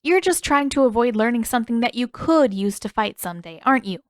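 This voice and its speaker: A woman's voice: a little high, lively intonation, fairly quick, no echo, studio quality, natural voice. A woman reader playing a spirited, stubborn and defensive teenage girl in a story, emphatic and wry.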